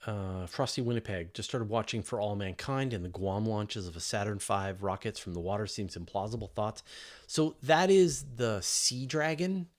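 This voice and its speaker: Low-voiced man chatting casually on a livestream. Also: normal speed. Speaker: host of a space Q&A livestream. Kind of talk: casual live question-and-answer chat.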